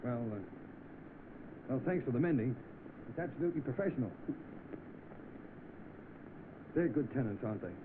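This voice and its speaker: An actor playing an eager, hard-up young job seeker in 1930s film style. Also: male voice, mid-range voice, expressive, fast, close to an everyday voice. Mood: polite, downcast. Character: eager, hard-up young man seeking work. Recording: noisy narrow-band old film soundtrack.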